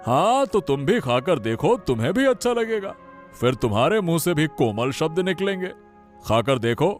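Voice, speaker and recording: A man's voice: on the high side, big pitch swings, moderate pace, soft background music. A man playing a kindly, hearty, approving older father in a cartoon family story. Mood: genial. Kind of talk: Hindi cartoon moral-story dubbing.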